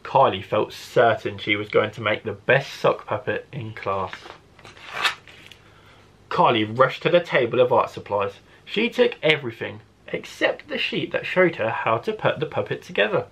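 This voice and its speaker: A man who works as a primary school teacher, reading a story expressively to children with deliberate phrasing. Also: mid-range voice, sing-song, vocal fry, fairly bright tone, a little hiss or hum.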